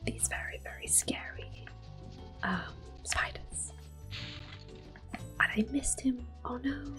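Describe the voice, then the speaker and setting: Woman, breathy whisper, slow gentle delivery, ASMR gaming commentary, explanatory, soft game music behind. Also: a little high. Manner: gentle, unhurried, explaining as they play. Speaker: gaming ASMR video host.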